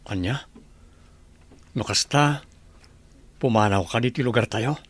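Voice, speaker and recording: A man's voice: mid-range voice, measured pace, husky. A man playing a humble, patient young jobless villager in a radio drama. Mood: earnest. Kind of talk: Ilocano radio drama acting.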